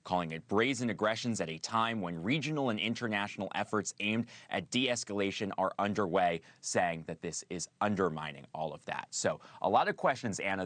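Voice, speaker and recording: An American man reporting live as a news correspondent, in a measured, explanatory delivery. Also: on the low side, a bit bright, no room sound.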